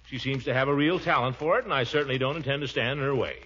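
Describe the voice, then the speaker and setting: An American actor playing a pompous, chuckling, good-natured middle-aged uncle in a 1940s style radio comedy. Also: male voice, mid-range voice, rapid-fire, a bit bright, breathy edge. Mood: proud, defensive. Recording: dull old radio recording.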